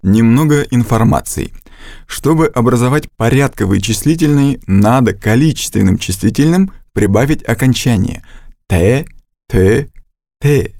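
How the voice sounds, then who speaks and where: A man reading scripted narration for a language course, with slow, deliberate instructional delivery and a close studio mic. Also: mid-range voice, expressive, dark tone, no room sound.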